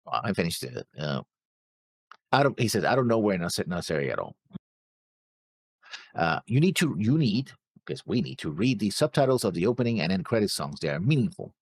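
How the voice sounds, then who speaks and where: Casting: male co-host, deep and lively in pitch, halting as he reads and comments casually. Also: gravelly, no echo. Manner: halting, stumbles over names. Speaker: reaction show co-host. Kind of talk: casual chat, reading out viewer comments.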